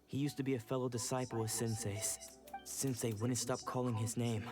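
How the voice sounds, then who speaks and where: Man voicing a young sword disciple, mid-pitched, earnest, courteous, worried, game drama, music behind. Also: steady tone, fairly quick, lightly acted.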